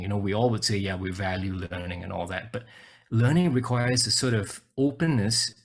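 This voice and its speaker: Husky gravelly man from Singapore. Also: on the low side. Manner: hesitant, repeats words, thinks aloud. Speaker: co-author and webinar presenter. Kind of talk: casual webinar talk. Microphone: video call.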